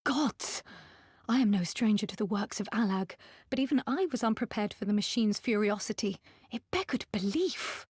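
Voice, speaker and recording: A woman's voice: mid-range voice, animated, normal speed, a little mellow, husky, no room sound, clean studio sound. A woman acting a learned, articulate and shaken scholar in a video game cutscene, with emphatic, formal phrasing. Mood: awed, appalled.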